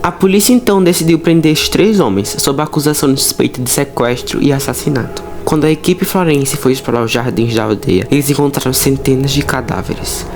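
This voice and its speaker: A man's voice: medium pitch, brisk, few pauses, a little mellow. A man with a Brazilian accent telling horror stories as a host, mixing narration with informal asides and dramatic emphasis. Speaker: host of a horror storytelling channel. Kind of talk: storytelling narration with casual asides. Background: music under the voice.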